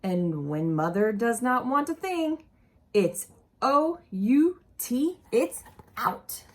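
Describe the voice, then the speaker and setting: A woman reading a children's picture book aloud expressively, with casual asides and questions for young listeners. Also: a little high. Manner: animated, deliberate, asks the listeners questions.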